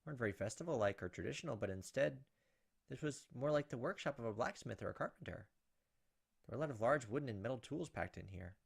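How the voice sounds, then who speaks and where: A man with a mid-pitched voice hosts a let's play of a visual novel at a quick clip. He reads the text out as dramatic narration, then slips into casual asides of his own. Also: animated, gravelly, slightly rough. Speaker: gaming video host. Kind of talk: let's play, reading a visual novel aloud with off-the-cuff reactions.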